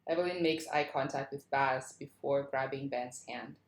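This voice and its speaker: Low-voiced woman narrating a script, echoey. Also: normal speed. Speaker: stage-direction narrator. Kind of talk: reading screenplay action lines aloud. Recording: roomy, echoing sound.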